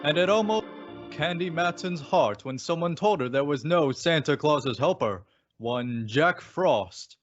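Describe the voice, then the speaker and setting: A man's voice: a little high, lively intonation, a little slow, faint music behind. A man playing a theatrical, jaunty announcer in old-time radio style. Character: theatrical male network announcer, showy and jaunty. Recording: dull lo-fi audio.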